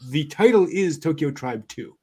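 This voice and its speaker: A man hosting an anime news show, chatting casually through items with a somewhat halting delivery. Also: on the high side, expressive, darkish tone, breathy edge.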